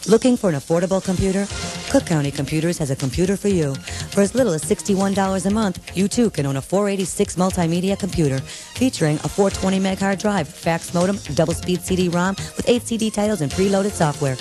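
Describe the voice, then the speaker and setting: Rapid-fire American woman commercial announcer. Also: lowish voice, music in the background. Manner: brisk, promotional. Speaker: advertising announcer. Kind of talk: commercial voice-over.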